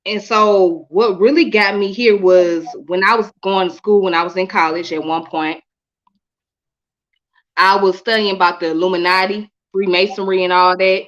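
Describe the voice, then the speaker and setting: Low-voiced woman telling her story. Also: dull audio. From Midwest-born, raised in Atlanta. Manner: repeats herself, relaxed, colloquial. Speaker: guest. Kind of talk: casual interview storytelling.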